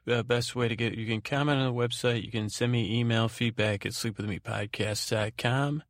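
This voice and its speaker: A man hosting a bedtime podcast in a gravelly voice, meandering and halting as he trails off mid-thought. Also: mid-range voice, fairly even intonation, dry recording, studio quality. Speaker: podcast host. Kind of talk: rambling bedtime podcast monologue.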